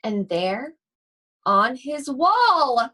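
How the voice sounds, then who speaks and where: A woman reading a children's storybook aloud expressively, with deliberate, suspenseful phrasing and drawn-out words. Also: sits high, big pitch swings, pushed and bright. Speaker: school library read-aloud host.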